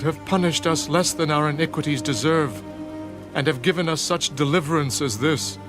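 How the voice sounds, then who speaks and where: An actor playing a penitent Hebrew priest at prayer in a dramatised scripture reading, pleading solemnly in measured phrases. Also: male voice, fairly high pitch, natural voice. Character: penitent male Hebrew priest praying in anguish. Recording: music behind the voice.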